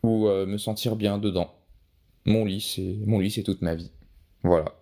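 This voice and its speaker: Low gravelly young man, hesitant. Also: fairly even intonation, measured pace, mellow. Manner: hesitant, many restarts and fillers. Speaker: podcast guest, photographer. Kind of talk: casual interview answers.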